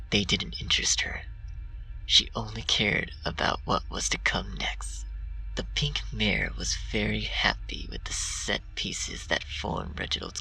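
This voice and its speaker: A man narrates dark fan fiction in a hushed, half-whispered voice. His tone is bright with a gravelly rasp. He sounds a bit distant from the mic, with faint music underneath. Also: on the low side. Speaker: story reader.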